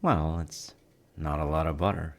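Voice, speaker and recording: A man playing a cozy, playful, reassuring dad in an intimate audio roleplay, talking softly and conversationally to the listener with gentle teasing. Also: a bass voice, steady tone, normal speed, gravelly. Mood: cozy, musing. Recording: noticeable kitchen noise of sizzling and stirring. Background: frying sizzle and kitchen sounds.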